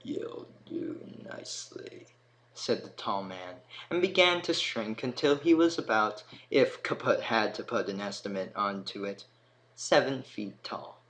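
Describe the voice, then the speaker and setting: A man's voice: fairly low pitch, expressive, a little slow, a bit bright, low-quality recording. A man reading scripted storybook narration as the narrator. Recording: noticeable background noise.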